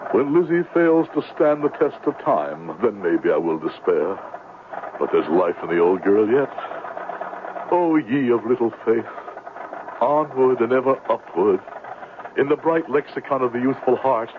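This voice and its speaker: A man's voice: mid-range voice, animated, measured pace, lightly acted. Man playing a grandiloquent swindling reverend, swooping delivery. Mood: grandly buoyant. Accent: American. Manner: florid, theatrical, pompous oratory. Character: grandiloquent swindling phony reverend, silver-tongued drifter. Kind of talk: old-time radio drama acting. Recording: noisy, tinny vintage radio broadcast.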